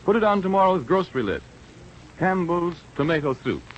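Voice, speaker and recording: Animated 1930s American radio announcer man. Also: sits high, fairly quick, a little hiss or hum. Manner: polished, emphatic salesmanship. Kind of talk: 1930s radio announcing, scripted sponsor read. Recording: dull vintage radio broadcast, music behind.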